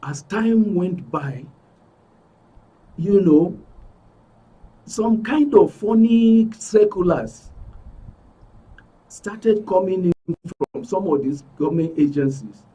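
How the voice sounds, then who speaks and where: Male interview guest, middle-aged, Nigerian accent. Slightly high, rough-edged voice, emphatic delivery, off-mic sound. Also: animated, slow, pauses often, warm tone, distant mic, rough recording. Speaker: studio guest, aggrieved club member. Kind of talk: spoken account in a broadcast interview. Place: radio and TV studio.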